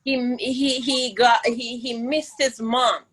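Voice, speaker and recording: Slow-talking Jamaican woman teaching children. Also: on the high side, fairly even intonation, fairly bright tone, breathy edge. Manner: encouraging, emphatic, prompting. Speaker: host of children's virtual storytime, teacher. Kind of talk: live interactive lesson for children.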